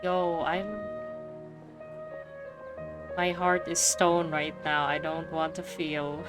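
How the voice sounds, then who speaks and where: A woman's voice: deep voice, monotone, a little slow. A woman giving casual gameplay commentary as a streamer, with slangy, emotive interjections. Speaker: streamer reacting to a game. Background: game music.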